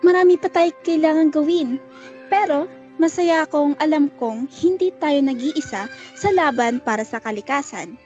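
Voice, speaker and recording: Casting: woman as eager village youth, Filipino accent, high voice, muffled lo-fi radio drama recording. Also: normal speed, a little mellow, soft background music, close to an everyday voice. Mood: hopeful.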